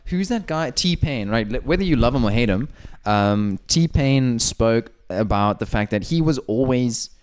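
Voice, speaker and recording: A man's voice: mid-range voice, lively intonation. A young man co-hosting a podcast, rambling and teasing his friends in casual banter. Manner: rambling, teasing, quick asides. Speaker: podcast co-host.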